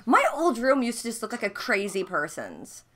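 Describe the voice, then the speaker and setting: A woman vlog host chatting casually and candidly, with animated, jokey commentary on her old videos. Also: fairly high pitch, moderate pace, noisy background. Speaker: host of a reaction channel.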